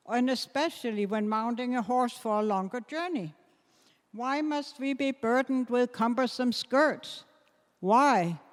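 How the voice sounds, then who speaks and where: A woman in a readers theater playing a practical, outspoken 1840s dress reformer in measured, emphatic tones. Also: on the high side, big-room sound. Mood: indignant. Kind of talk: staged readers theater performance.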